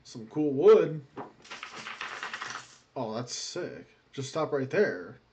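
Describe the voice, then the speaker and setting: A man's voice: medium pitch, sing-song, very slow. A man reacts to clips in casual slang, blunt and joking. His voice sits in an ordinary middle range. Faint noise runs under his talk. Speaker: reaction video host.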